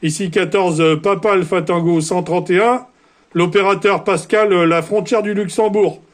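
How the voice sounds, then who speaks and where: A man's voice: high voice, fairly even intonation, normal speed. A man speaks as a CB radio operator, courteous and orderly, in the set phrases of a radio contact. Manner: polite, orderly, formulaic sign-offs.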